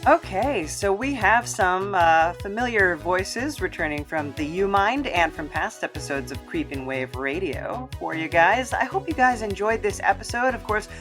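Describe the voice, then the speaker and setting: A woman's voice: mid-range voice, animated, normal speed, hardly any pauses. Chatty woman podcast host over faint music. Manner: chatty, informal. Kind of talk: casual outro to listeners.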